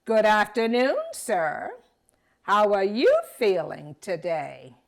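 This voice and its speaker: Woman doing a sly, oily buzzard gentleman. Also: medium pitch, expressive, takes it slowly. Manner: smooth, courtly and sneaky. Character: sly predatory male buzzard, falsely polite.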